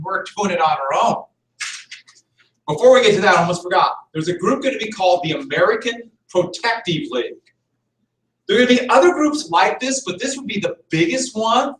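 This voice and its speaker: Guy teaching history, kinda high voice, talks loose and repeats himself, mic far off in a roomy classroom. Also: fairly bright tone. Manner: conversational, repeats key points for emphasis. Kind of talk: classroom lecture. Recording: echoey room, mic far off.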